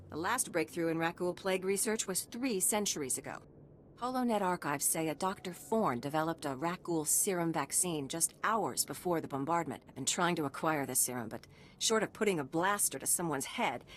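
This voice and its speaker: An earnest sci-fi game doctor played by a woman speaks in a slightly low female voice. She is measured and formal, with dry wit, over faint rumbling noise. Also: animated, close to an everyday voice. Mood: dry, frustrated. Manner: measured, formal, matter-of-fact. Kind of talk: video game dialogue acting.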